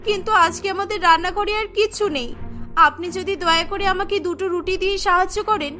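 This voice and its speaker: A woman acts out a poor village mother in a cartoon, anxious and pleading for her hungry child. The voice is very high and bright, with music underneath. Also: fast. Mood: tearful pleading.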